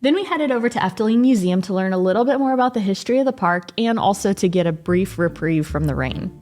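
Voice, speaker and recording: A woman's voice: mid-range voice, expressive, fast talker, a little mellow. A woman travel vlogger narrating and chatting in an informal, conversational way. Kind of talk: casual vlog narration and chat.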